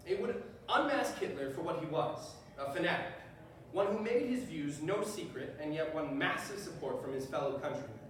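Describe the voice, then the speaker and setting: Very echoey distant recording, highish male voice, man playing principled defense lawyer, measured rhetorical stage delivery. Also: expressive, lightly acted. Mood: measured, firm. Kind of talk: live stage readers theater. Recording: distant mic, echoey room, faint noise.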